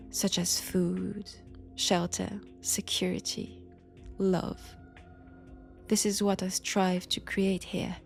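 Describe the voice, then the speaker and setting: A woman voicing a seductive, menacing ancient vampire in an intimate audio roleplay, with a French accent and a breathy delivery that dips into whispers. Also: fairly low pitch, a little mellow. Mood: tender, possessive.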